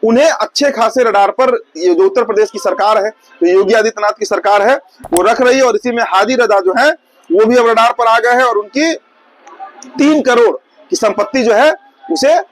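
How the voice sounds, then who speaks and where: A male news presenter with a strikingly high voice for a man. He speaks conversationally, explaining each item to the audience and restating phrases as he goes. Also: moderate pace, low-quality recording. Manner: conversational, explanatory, repeats and rephrases points. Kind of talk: informal news commentary addressed to viewers.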